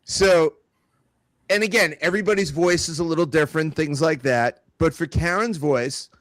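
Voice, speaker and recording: Dry-witted man with a slightly high voice. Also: moderate pace, a bit bright, dry recording, rough recording. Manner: dry, teasing asides. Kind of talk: casual chat, teasing interjections. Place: small room with several people around mics.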